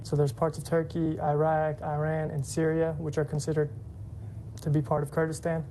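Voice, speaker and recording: A woman introducing her background in a relaxed, chatty way with fillers. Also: deep voice, moderate pace, a little hiss or hum, poor audio. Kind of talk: unscripted self-introduction.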